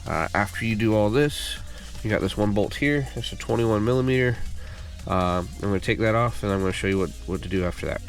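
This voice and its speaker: A man with a low voice, stuffed up and congested, talks through a car repair job in a relaxed, rambling way with lots of ums and uhs. Faint music plays softly behind him. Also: a little fast. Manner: relaxed, filler-heavy explaining. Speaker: car repair channel host. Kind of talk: casual how-to walkthrough. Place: working at a car.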